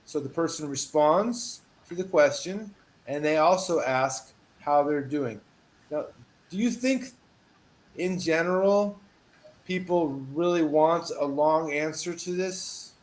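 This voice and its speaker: A man's voice: on the high side, slow. Patient man tutoring English. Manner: patient, encouraging, reads model phrases with lively emphasis. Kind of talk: online one-on-one English lesson.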